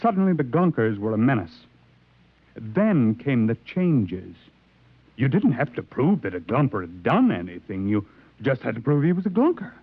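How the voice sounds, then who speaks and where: An actor playing a tense, nervous little consul full of dread, in 1950s radio drama style. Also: male voice, mid-range voice, sing-song, normal speed, slightly rough, no room sound. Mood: grim, haunted. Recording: tinny, dry old radio recording.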